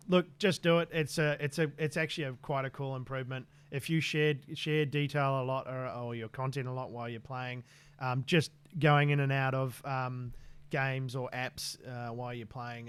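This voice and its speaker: A man's voice: medium pitch, fairly even intonation, moderate pace, a bit bright, clear, resonant tone. A man co-hosting a tech podcast in an easygoing, casual chat full of fillers and restarts. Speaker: podcast co-host.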